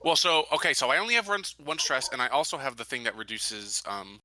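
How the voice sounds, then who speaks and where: Male tabletop player, piercingly bright tone, thinks out loud and queries things, dry close sound. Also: medium pitch, big pitch swings, fast talker, no room sound, rough recording. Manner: questioning, thinking aloud. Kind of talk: casual game-table chat.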